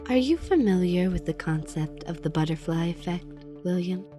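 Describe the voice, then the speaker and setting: Woman acting rich villainess in an audio drama, lowish voice, measured and quietly threatening, bit of music behind. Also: lively intonation, lightly acted. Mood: coolly philosophical. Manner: measured, philosophical, veiled threats. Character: cool, menacing, wealthy woman manipulator, erudite and controlled.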